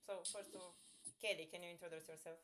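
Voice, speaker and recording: A woman hosting a livestream, casually prompting her guests in a loose, unhurried way. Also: on the low side, steady tone. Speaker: livestream host. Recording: loud background noise.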